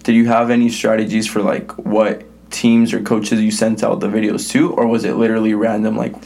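A young male host with a lowish voice chats casually with his guest. He grew up speaking English and Spanish together. His talk is loose and filler-heavy, with plenty of "like" and quick restarts. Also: flat delivery, creaky, a little mellow. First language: English and Spanish both. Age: young adult. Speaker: podcast host, college soccer player. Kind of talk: casual interview chat.

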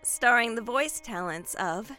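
A woman's voice: fairly high pitch, lively intonation, measured pace, pushed and bright. A woman announcer giving a scripted reading of production credits. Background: music.

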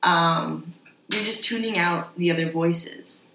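Low-voiced young woman, echoey room. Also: takes it slowly, creaky. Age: young adult. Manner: relaxed, chatty, frequent agreeing fillers. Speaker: podcast co-host. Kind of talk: casual conversational podcast. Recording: echoey room with faint noise.

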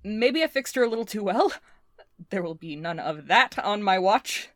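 A woman playing a scrappy, intense inventor recording a personal log in an audio drama, talking in a halting, volatile way. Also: mid-range voice, animated, fairly bright tone, slight background noise, close to an everyday voice. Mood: determined. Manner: swearing, halting, swinging from muttering to fervent.

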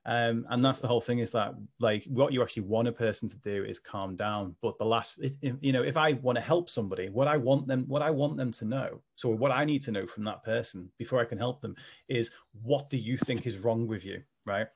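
A man with a Scouse accent chatting on a podcast, explaining practical calming techniques and laughing often. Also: medium pitch, brisk, slightly rough. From Liverpool, England. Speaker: podcast co-host. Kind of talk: casual podcast discussion.

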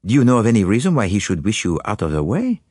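A man's voice: fairly low pitch, huge pitch swings, quick pace, darkish tone, no echo, clean studio sound. A man reading an audiobook, voicing a fussy, courteous foreign male detective who speaks precisely and drops French phrases. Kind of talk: audiobook character voice.

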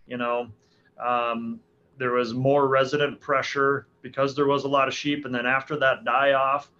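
A man's voice: medium pitch, sharp tone, a little hiss or hum. A man in his forties, a hunting guide, answering interview questions casually with frequent restarts and fillers. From Alaska. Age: 40s. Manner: hesitant, many restarts and fillers. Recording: dull lo-fi sound.

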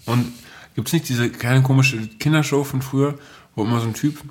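Man in casual podcast chat, medium pitch. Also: steady tone, normal speed. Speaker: podcast co-presenter.

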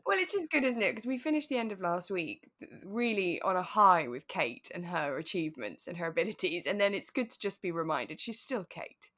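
A woman's voice: on the low side, sing-song, brisk, hardly any pauses, a bit bright. A woman co-hosting a podcast, casually talking through a radio drama in a reflective, explanatory way. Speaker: podcast co-host.

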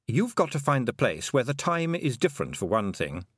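A man's voice: mid-range voice, very animated, moderate pace, a bit bright, breathy edge, dry recording, studio quality. A man voicing a talking toad in a matter-of-fact way. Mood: dry. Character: dry, knowing talking toad.

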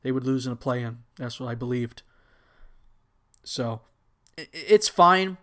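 A man's voice: medium pitch, animated, a little slow, a bit bright. A young man in his twenties with a Texan accent, hosting an unscripted solo podcast, rambling casually and correcting himself as he goes. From United States. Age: 20s. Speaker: podcast host.

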